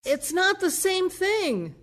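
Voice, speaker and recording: A woman playing a devout, defensive elderly mother in an audio play, gentle and pleading. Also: very high voice, very animated, slow, exaggerated voice. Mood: gently defensive.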